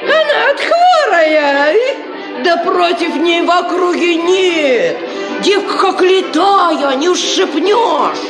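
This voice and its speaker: A woman's voice: very high voice, big pitch swings, slow pace, over a noisy crowd, full character voice. An actress playing a wily, wheedling peasant matchmaker woman with glib, folksy speech in an old Soviet radio play. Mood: insistent. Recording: roomy old radio recording with music.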